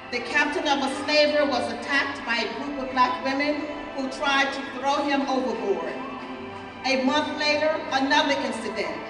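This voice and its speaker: A woman performs a scripted dramatic spoken-word monologue in a solemn, deliberate, emphatic manner. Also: high voice. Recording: very echoey hall, distant microphone.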